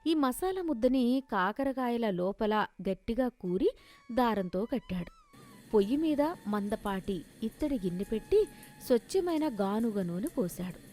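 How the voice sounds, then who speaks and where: Lady telling a moral story, higher voice, scripted narration, music behind. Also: lively intonation, a little slow, a little mellow.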